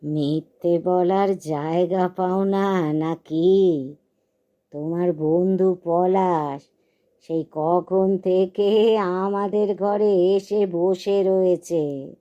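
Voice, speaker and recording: Woman playing reproachful village wife, extremely slow, dark soft tone, cartoon drama, muffled lo-fi sound. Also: lowish voice, steady tone. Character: nagging, reproachful village wife of an old man. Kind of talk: Bengali cartoon story dialogue. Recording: dull lo-fi sound, faint music.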